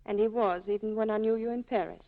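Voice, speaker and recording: An actress playing a torn, loyal wife, earnest and dramatic, in a 1940s radio drama. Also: female voice, medium pitch, steady tone, fast talker, lightly acted. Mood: wounded, regretful. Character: torn, sorrowful, loyal wife, proud and romantic. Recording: dull lo-fi vintage radio broadcast.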